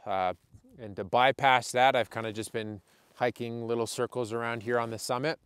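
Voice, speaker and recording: A man in his late twenties, cold, vlogging from inside a small tent on a snowy summit in a thoughtful, candid, self-deprecating way, with halting restarts. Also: medium pitch, steady tone, fairly bright tone, clear, resonant tone, dry recording. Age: late 20s. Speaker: outdoor filmmaker. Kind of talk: casual reflective vlog narration.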